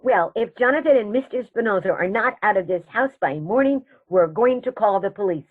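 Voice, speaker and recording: A woman's voice: medium pitch, lively intonation, fairly quick, natural voice. A woman playing a prim, indignant elderly aunt in a radio play, matter-of-fact and proper. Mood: scolding. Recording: thin, phone-like sound.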